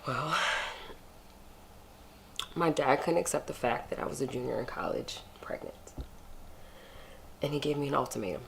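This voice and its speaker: A woman playing a regretful middle-aged mother in a film drama, earnest and hesitant with her grown son. Her voice has a gravelly creak. There is faint noise behind her. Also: very deep voice, normal speed, pauses often. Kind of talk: dramatic screen acting.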